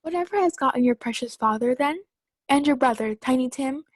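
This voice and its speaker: A bustling, affectionate Victorian mother played by a woman in a radio play. Her voice is on the high side and recorded dry. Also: animated, fairly quick, no room sound.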